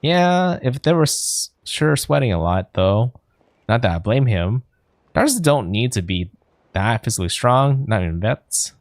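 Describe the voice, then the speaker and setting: A man's voice: medium pitch, very animated, unhurried, no echo. A man voicing a confident, easygoing athletic coach while reading game dialogue aloud, breezy and good-natured.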